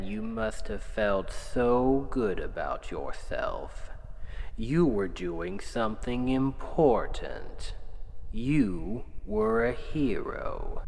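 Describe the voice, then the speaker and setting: A man voicing an arrogant, seductive, manipulative Demon King in an ASMR roleplay, with a gravelly voice and a condescending, coaxing delivery that drifts into whispers. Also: fairly low pitch, exaggerated voice. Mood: knowing, manipulative. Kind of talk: ASMR roleplay, slow dramatic monologue. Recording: long hall-like reverb, faint background noise.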